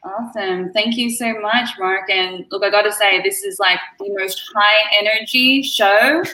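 A woman's voice: mid-range voice, unhurried, bright, forceful tone, poor audio. A woman with an Australian accent, chatting as an upbeat guest on an interview show, with lots of fillers. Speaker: guest, partner manager. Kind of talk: casual interview chat.

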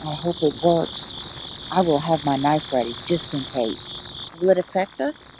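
Skeptical female sidekick, acted by a woman: low, gravelly, questioning, faint background noise. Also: a little slow. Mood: tense readiness. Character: wary, skeptical female sidekick armed with a knife. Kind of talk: amateur audio play acting. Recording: steady noise behind the voice.

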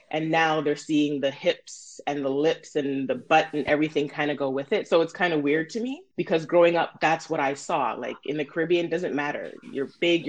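A woman's voice: low-pitched, a little hiss or hum. A woman from Trinidad speaking casually as a panel guest, using lots of "like" and "you know.".

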